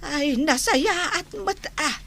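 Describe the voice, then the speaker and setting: A woman's voice: sits high, lively intonation, takes it slowly, fairly bright tone, a little hiss or hum, full character voice. A woman playing a kindly, pious old grandmother in a radio comedy drama, with an Ilocano accent and sighing interjections.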